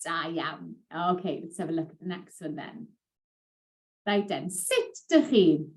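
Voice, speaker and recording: A woman with a South Welsh accent, touched by West Wales, teaching an online language lesson and drilling phrases with slow, clear repeats. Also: on the low side. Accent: South Welsh, some West Welsh.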